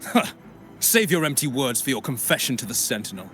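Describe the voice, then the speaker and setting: A man's voice: medium pitch, very animated, brisk, a bit bright. A man voicing a stern, self-righteous religious enforcer in a video game, with a commanding, declamatory delivery. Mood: scornful. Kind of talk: dramatic game voice acting. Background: music.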